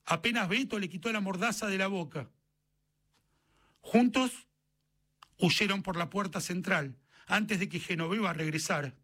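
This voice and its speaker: Bright, high-voiced Argentine male narrator. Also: unhurried, long pauses, husky. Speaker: narrator reading a short story. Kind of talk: scripted literary narration.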